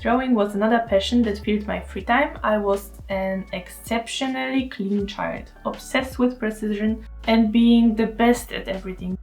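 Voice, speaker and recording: A woman in her twenties from Poland with a Polish accent, narrating her story as an artist in a candid, self-deprecating way. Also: medium pitch. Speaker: artist vlogger. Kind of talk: scripted voice-over narration.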